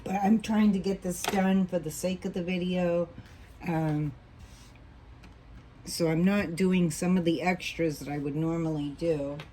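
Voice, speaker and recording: A woman teaching a quilting block as she sews, patient and thinking aloud, a little hoarse as she loses her voice. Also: on the low side, steady tone, unhurried, dark tone, a little hiss or hum. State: losing her voice, coughing. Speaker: quilting instructor. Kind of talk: relaxed hands-on sewing tutorial.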